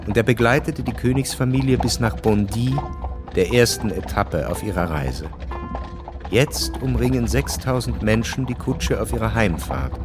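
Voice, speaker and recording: A man's voice: mid-range voice, animated, normal speed, music in the background. A man giving a scripted narration for a historical radio drama.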